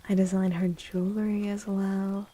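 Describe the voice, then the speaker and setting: A woman speaking softly and breathily, often near a whisper, in a gentle ASMR livestream style. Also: medium pitch, flat delivery, a little slow. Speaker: streamer and tarot reader. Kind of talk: soft-spoken ASMR livestream chat.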